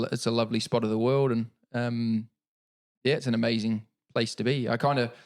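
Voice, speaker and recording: A man in his thirties with a New Zealand accent, talking in a relaxed, reflective way as an interview guest, with many fillers and restarts. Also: medium pitch. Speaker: guest, paralympic cyclist.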